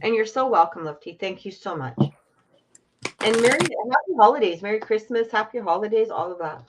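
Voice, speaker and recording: A woman's voice: medium pitch, animated, a little fast, highs cut off, heavy background noise. A woman hosting a live tarot reading, chatting her way through the cards with lots of "you know". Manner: chatty, explains cards with filler words. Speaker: psychic tarot reader, host.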